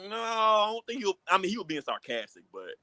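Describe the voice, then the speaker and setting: A bright, forward American male voice in a casual podcast conversation. He speaks as one of the hosts, and the sound is dry and close. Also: sits high, big pitch swings, a little fast, dry recording. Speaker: podcast host. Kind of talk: casual group podcast chat.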